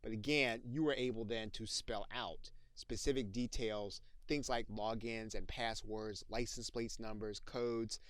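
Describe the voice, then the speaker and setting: Low-voiced man narrating a software tutorial. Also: steady tone, a little slow, hardly any pauses, clear, resonant tone, no room sound. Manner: methodical, explanatory. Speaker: software training instructor. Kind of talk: instructional screencast narration with dictation demos.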